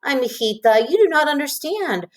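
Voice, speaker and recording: A woman voicing a practical, caring mother in a children's storybook, gently explaining things. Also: high-pitched, big pitch swings, normal speed, recorded from a distance, pitched above the performer's own voice. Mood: gently refusing. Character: practical, caring Mexican immigrant mother of a big family.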